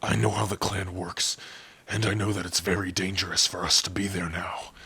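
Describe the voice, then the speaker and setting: Gentle giant orc played by a man, low-pitched and crisp-edged, hesitant and lovestruck, for intimate ASMR roleplay. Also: level tone, full character voice. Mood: nervous, tender. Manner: hesitant, halting, soft-spoken, intimate. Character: big tender, bashful male orc in love. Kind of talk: ASMR romantic fantasy roleplay.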